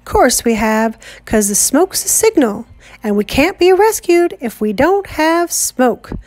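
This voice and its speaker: A woman's voice: sits high, very animated, slow pace, a little mellow, full character voice. A woman voicing an earnest boy who is eager to please, speaking in a placating way.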